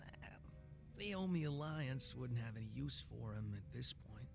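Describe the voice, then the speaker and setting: An actor playing a laid-back, sly gangster boss with a soft heart in a video game, speaking in a casual, teasing drawl. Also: male voice, medium pitch, animated, normal speed, gravelly, music in the background. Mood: casual, wry. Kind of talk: video game cutscene acting.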